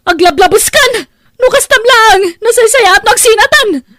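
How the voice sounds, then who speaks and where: A woman's voice: extremely high pitch, expressive, moderate pace, a bit bright, no room sound, studio quality. A woman playing a long-suffering, distressed wife in an Ilocano audio drama, emotional and pleading. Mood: anguished, angry.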